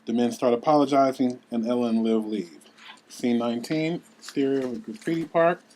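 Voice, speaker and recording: A man's voice: medium pitch, brisk, darkish tone, slight background noise, low-quality recording. A man with a mid-range voice reads screenplay narration. He announces scenes and describes the action as the narrator of a table read. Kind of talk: scripted narration of stage directions.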